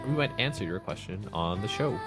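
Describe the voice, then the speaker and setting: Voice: sits low, animated, brisk, music in the background. A podcast host chatting casually in a rambling, jokey way, often self-correcting. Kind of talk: casual chat.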